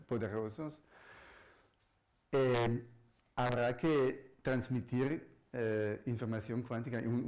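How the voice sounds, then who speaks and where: A man, not a native speaker of the language, giving a public physics lecture as a professor, halting and searching for words with frequent restarts. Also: medium pitch, a little mellow. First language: not Spanish. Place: university lecture hall.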